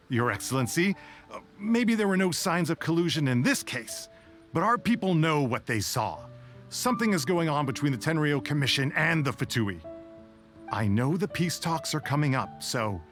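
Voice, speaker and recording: A man's voice: a little high, fairly bright tone. An actor playing an earnest soldier reporting to his leader in a game, respectful and a little hesitant. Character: male soldier, earnest and suspicious.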